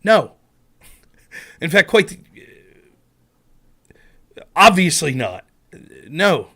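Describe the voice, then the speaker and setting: A man's voice: fairly high pitch, sing-song, very slow, sharp tone. A man from Pennsylvania hosting a casual livestream on a headset at home, grumbling to himself with mild curses and airing blunt political opinions.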